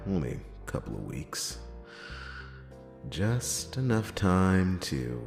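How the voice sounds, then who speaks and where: A man's voice: a bass voice, heavily acted. A man playing a world-weary, reluctant private detective in a hesitant, dramatic noir roleplay monologue. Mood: wistful, brooding. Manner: hesitant, drawn-out phrasing with pauses and sighing chuckles. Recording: echoey long reverb, music behind.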